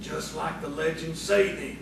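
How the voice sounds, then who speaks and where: A man's voice: on the high side, fairly even intonation, unhurried, creaky. A man in an audio theatre play as a nosy, hard-drinking barfly, blunt, folksy and suspicious. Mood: dry, thirsty. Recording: echoey, distant stage recording, faint noise.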